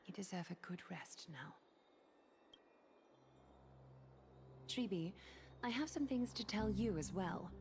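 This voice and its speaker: A woman voicing a grave, composed noblewoman leader in a game dub, speaking formally and with measured command. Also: mid-range voice, lively intonation, darkish tone, slight background noise, natural voice. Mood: composed, concerned. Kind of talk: English game dub voice acting. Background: music.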